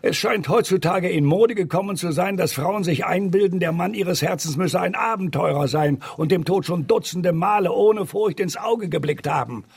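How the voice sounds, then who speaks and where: Gruff, very raspy blustering editor. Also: male voice, sits high, moderate pace, few pauses, heavily acted. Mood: sardonic. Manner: exasperated, pompous, opinionated, stammering in thought. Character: gruff, blustering middle-aged male newspaper editor. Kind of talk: German radio drama acting.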